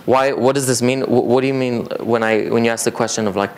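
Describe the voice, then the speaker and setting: A man in his thirties from Israel giving an informal technical conference presentation, explaining in a halting way. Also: steady tone. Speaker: conference speaker, cybersecurity company co-founder. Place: indoor conference breakout room with seated audience. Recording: clear low rumbling room noise.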